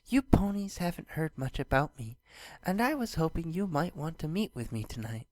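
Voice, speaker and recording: A gentle young pony princess from a storybook, acted out by a reader, speaking high and soft. She is shy and wistful, with a formal, courtly way of putting things. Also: very animated, moderate pace, no echo, close to an everyday voice. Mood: earnest, wistful.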